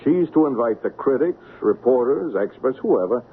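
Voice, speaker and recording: An actor playing a cocky, persuasive swindler who coaxes and builds up to reveals in an old-time radio drama. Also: male voice, medium pitch, lively intonation, moderate pace, slightly rough, narrow, tinny audio. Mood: confident, explaining. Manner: theatrical, coaxing, builds up to reveals. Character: cocky, persuasive male swindler masterminding a plot. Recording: band-limited, noisy radio drama recording.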